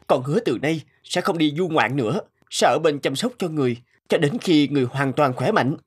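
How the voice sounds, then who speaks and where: Earnest young prince acted by a man, higher-pitched. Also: animated, a little fast, slightly rough. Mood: worried, dutiful. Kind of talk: Vietnamese cartoon fairy-tale acting. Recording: loud background music.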